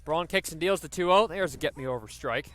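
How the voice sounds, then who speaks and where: A man's voice: fairly high pitch, lively intonation, fairly quick, a bit bright. A man doing live radio baseball play-by-play, describing the action and working in stats as he goes. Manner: descriptive, stat-laden delivery.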